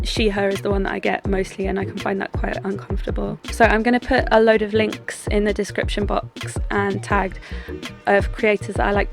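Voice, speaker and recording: A young adult doll artist talking through their craft in a relaxed, chatty way, with plenty of ums and restarts. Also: female voice, mid-range voice, vocal fry. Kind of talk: casual vlog talk and craft voice-over. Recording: music bed under the voice.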